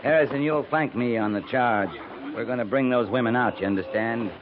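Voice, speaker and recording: An actor playing a commanding, determined cavalry captain in a 1950s radio western, speaking in terse orders. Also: male voice, medium pitch, a little fast, close to an everyday voice. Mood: stern, resolute. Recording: noisy narrow-band vintage radio drama recording.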